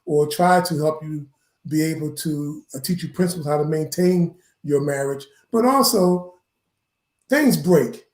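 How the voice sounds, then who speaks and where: A man's voice: a little high, a little slow. A man giving an earnest, instructive explanation in a conversational way, as a guest educator. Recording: echoey room sound.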